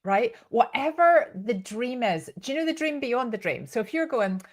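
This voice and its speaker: A woman's voice: on the high side, very animated, normal speed. A woman with a Northern Irish accent giving an informal live training talk as host, chatty and given to storytelling asides. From Northern Ireland.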